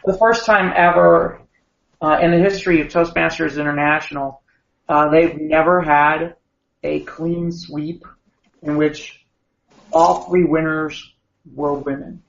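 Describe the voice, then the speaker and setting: Gravelly man, casual podcast chat. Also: a little high, fairly even intonation, unhurried, fairly bright tone. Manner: rambling, restarts sentences often. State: gravelly after a long weekend of talking. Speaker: podcast co-host. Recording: echoey room, dull lo-fi sound.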